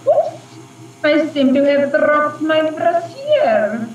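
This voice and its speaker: Heard through a distant, echoing recording, a female Russian spy ghost with a Russian accent. She draws her words out very slowly, purring and taunting like a scheming seductress in a radio drama. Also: high voice, very animated, heavily acted. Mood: seductive. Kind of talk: radio play acting. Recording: dull, echoey, distant, slightly noisy recording.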